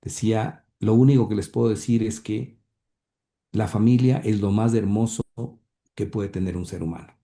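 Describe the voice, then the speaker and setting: Man, low dark mellow voice; earnest, hesitant interviewee with lots of fillers. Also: steady tone. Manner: halting, many fillers and repeated words; earnest, heartfelt. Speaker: interview guest, grandson and author. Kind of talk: conversational interview, reading quotes aloud.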